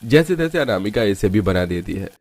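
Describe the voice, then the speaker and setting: Low-voiced man narrating a story. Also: sing-song, normal speed, no echo.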